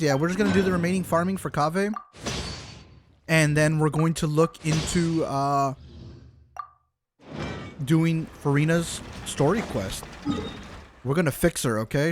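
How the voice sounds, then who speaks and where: High-voiced man streaming games over noise. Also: moderate pace, pauses often. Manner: jokey, exclamatory, profane. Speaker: game live streamer. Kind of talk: casual livestream chat while playing a game.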